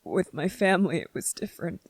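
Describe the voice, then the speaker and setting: A performer voicing a gentle, grieving young male soldier in an intimate ASMR roleplay, speaking haltingly and emotionally, close to tears. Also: mid-range voice, lively intonation, gravelly, no room sound. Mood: grieving, aching. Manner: halting, emotional, earnest, short broken phrases.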